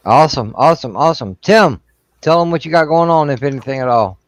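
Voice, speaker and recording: A man's voice: on the high side, lively intonation, fast talker. Tipsy man hosting a loose stream. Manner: loose and profane, rambling sign-off. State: slightly tipsy. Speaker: stream host. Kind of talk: casual stream chat.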